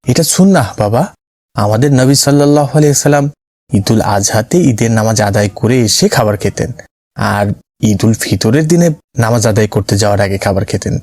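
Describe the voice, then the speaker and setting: A man's voice: on the low side, normal speed, darkish tone, no room sound, lightly acted. A man voicing a patient, devout father who explains and tells stories to his son in an Islamic cartoon. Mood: calm, instructive. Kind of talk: Islamic cartoon dialogue, storytelling.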